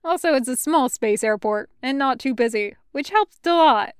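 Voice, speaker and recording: A woman reading fan fiction aloud as an expressive storyteller. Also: high-pitched, normal speed, clear, resonant tone, no echo, clean studio sound. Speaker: fan fiction podcast reader.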